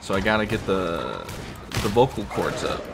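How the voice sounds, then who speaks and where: A man's voice: moderate pace, vocal fry. Rambling young man with a scratchy throat. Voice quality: deep, fairly monotone. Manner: rambling, many fillers, swears casually. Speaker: gamer commenting while playing. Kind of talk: casual gameplay commentary.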